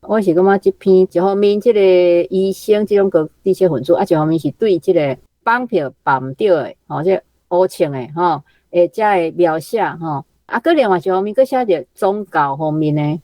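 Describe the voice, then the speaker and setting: A Taiwanese woman comments informally as a guest. Her voice is low and mellow, recorded dry, and she punctuates her phrases with frequent tag particles. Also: moderate pace, few pauses, no echo. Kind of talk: spontaneous literary discussion.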